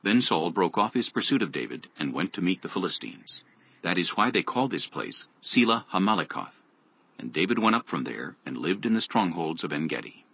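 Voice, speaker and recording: Male scripture narrator, husky, lively intonation, scripted reading, dull lo-fi recording. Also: low voice, moderate pace, no room sound. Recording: dull lo-fi audio.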